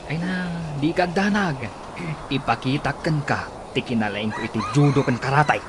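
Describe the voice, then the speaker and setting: An actor playing a cocky, boastful young boyfriend in an Ilocano radio comedy drama. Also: male voice, medium pitch, normal speed, noisy background, lightly acted. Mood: boastful, casual. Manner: boastful, exclaiming fight cries.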